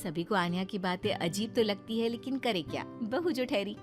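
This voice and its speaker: A woman reading scripted narration for an animated moral story as the storyteller. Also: mid-range voice, normal speed, music in the background.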